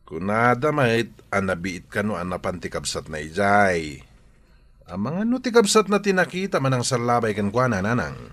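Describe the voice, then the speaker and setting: A man's voice: fairly low pitch, sing-song, normal speed, a bit bright. A man with an Ilocano accent playing an eager, deferential job seeker in a radio drama, earnest and coaxing. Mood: animated, curious.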